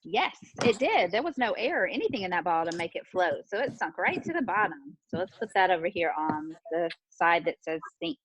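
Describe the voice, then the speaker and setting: A woman's voice: on the low side, big pitch swings, a little fast, hardly any pauses, fairly bright tone, lo-fi sound. A woman teaching pre-kindergarten children interactively, asking questions and repeating their answers in an encouraging way. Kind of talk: interactive lesson for young children.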